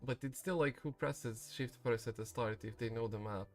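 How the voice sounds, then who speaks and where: A man's voice: medium pitch, normal speed. A man giving casual commentary as he streams a game. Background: game music.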